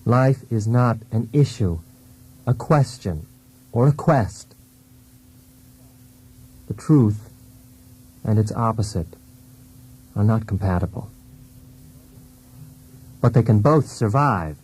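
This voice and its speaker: Anguished husband, low mellow voice, brooding, introspective, theatrical radio drama, music behind. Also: male voice, animated, slow, pauses often, breathy edge, heavily acted, poor audio. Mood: brooding, solemn. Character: anguished, dependent husband in a failed marriage.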